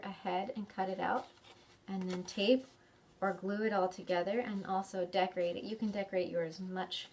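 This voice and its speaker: A woman's voice: medium pitch, a little hiss or hum. A woman with an American accent hosting a preschool storytime, speaking simply and encouragingly to young children. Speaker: children's librarian, storytime host. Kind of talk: preschool storytime craft instruction. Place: indoors in a library.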